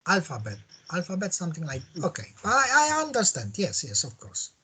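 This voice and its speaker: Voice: mid-range voice, huge pitch swings, a little fast, bright, forceful tone, husky. A Polish-accented English learner chatting casually, speaking hesitantly and repeating phrases. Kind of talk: casual practice conversation.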